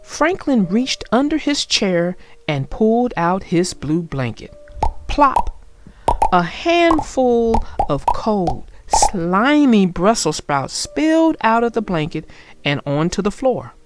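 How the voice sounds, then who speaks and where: A woman narrating a children's story aloud in an expressive storytelling manner. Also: medium pitch, slow.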